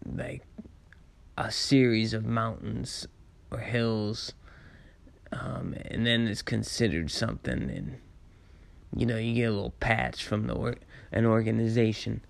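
A man with a low, raspy voice full of gravelly creak, sounding tired at the end of a long day. He rambles through personal memories at a very unhurried pace. His phrasing is halting and reflective, with laid-back slang. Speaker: storyteller sharing personal memories. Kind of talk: casual rambling personal storytelling.

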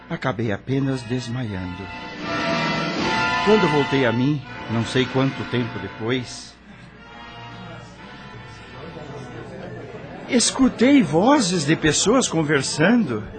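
An actor playing a dead rock star in a radio drama, tormented and regretful, with a solemn, theatrical Brazilian delivery. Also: male voice, on the high side. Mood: resigned, suffering. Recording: music under the voice.

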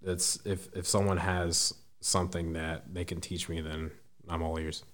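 A man in his twenties with a deep voice, a podcast guest speaking thoughtfully with frequent restarts. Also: level tone, fairly bright tone. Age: 20s. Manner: thoughtful, halting, restarts sentences. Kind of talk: casual interview.

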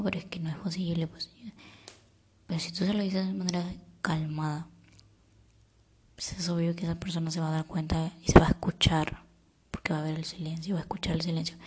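Breathy whispering young woman. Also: deep voice, a little fast, long pauses. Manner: soft whispering, calm and reflective. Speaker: vlogger giving life advice. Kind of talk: whispered ASMR-style advice talk.

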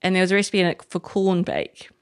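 Gravelly-voiced New Zealand woman chef. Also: lowish voice, level tone, quick pace. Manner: chatty, enthusiastic, rambling with many restarts. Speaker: chef guest. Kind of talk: casual interview chat.

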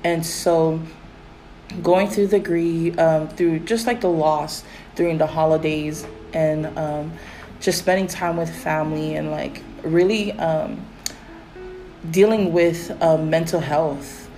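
A woman hosting a casual faith podcast, with a raspy voice and a rambling manner full of fillers. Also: low-pitched, slow pace, soft background music, poor audio. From Pacific Islands. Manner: rambling, many fillers, animated when excited. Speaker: podcast host.

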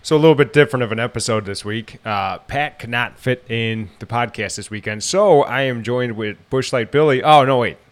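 A man's voice: medium pitch, fairly quick, hardly any pauses, faint music behind. Man in his 30s, nasal, stuffy head cold, chatty podcast host, rambling storyteller. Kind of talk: casual chat.

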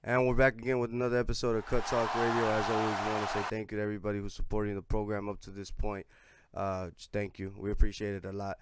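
A man in his twenties hosting a casual interview podcast, relaxed and full of "you know" fillers. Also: fairly low pitch, fast talker, loud music behind the voice. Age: 20s. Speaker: podcast host.

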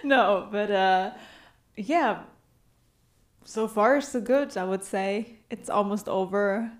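A woman's voice: mid-range voice, slow pace. A woman from Germany with a German accent, co-hosting a podcast in casual chat and laughing often. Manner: giggly, laughs often between remarks. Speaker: podcast co-host.

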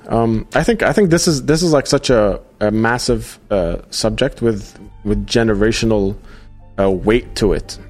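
A man hosting a live podcast, reading out viewer comments in a casual, halting way with many fillers. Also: medium pitch, a little mellow. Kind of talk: casual livestream chat.

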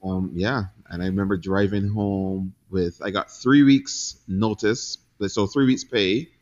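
A man in his forties from Barbados, a guest chatting casually in an interview, relaxed, laughing easily and pausing with ums. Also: lowish voice, muffled recording. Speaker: guest entrepreneur.

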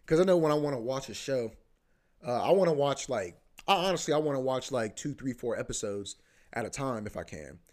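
A man's voice: medium pitch, expressive, fairly quick, a little mellow. A young man hosting a podcast, rambling casually with lots of profanity, repeating himself and filling gaps with "bro" and "you know what I mean". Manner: repeats himself, often restarts. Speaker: podcast host.

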